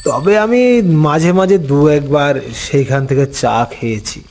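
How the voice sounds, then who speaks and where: A man narrating a scripted first-person story as an uncle, with unhurried, expressive dramatic delivery. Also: fairly high pitch, slight background noise.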